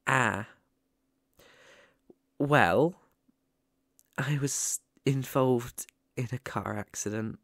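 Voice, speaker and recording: A young British man voicing a shy, lonely but eager ghost in an ASMR roleplay, stammering, gentle and apologetic. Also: mid-range voice, extremely slow, long pauses, dry recording, clean studio sound. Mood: subdued, hesitant.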